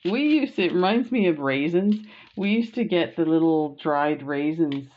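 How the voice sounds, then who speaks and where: A woman's voice: sits low, moderate pace, lo-fi sound. A middle-aged woman with a Canadian accent chatting casually and gushing as she unboxes beads for her vlog, with plenty of fillers. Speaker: beading vlogger.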